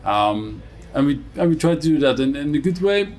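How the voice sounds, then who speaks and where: A man from the Netherlands with a Dutch accent, answering interview questions as a company chief in a measured, explanatory way and repeating words as he forms his thoughts. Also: a little high, expressive, darkish tone. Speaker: chief executive of a hotel company, interview guest.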